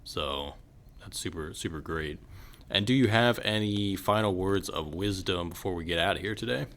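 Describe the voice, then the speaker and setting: A man's voice: sits low, moderate pace, fairly bright tone. An American man hosting a podcast in casual conversation, encouraging and affirming his guest. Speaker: podcast host. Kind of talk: casual interview chat.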